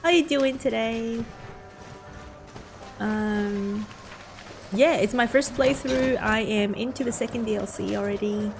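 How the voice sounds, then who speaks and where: Woman game streamer, Mandarin accent, slow unhurried talk, stop-start chat, game music behind. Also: mid-range voice, vocal fry. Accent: Mandarin-accented English. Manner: halting, chatty, answers chat with many restarts. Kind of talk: casual live-stream chat while gaming.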